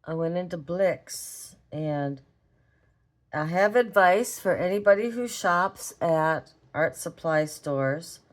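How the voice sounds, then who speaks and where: A hoarse, raspy woman with a Minnesotan accent, chatting casually as she draws in an art tutorial vlog. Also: on the low side, slow. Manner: chatty, rambling, talks while drawing. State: hoarse from a cold. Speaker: art vlogger. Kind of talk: relaxed drawing tutorial chat.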